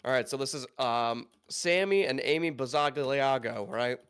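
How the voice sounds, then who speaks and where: A man's voice: medium pitch, moderate pace, a bit bright, clear, resonant tone. A man co-hosting a reaction stream over a voice call, joking, teasing and swearing casually. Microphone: headset or desk mic over a voice call.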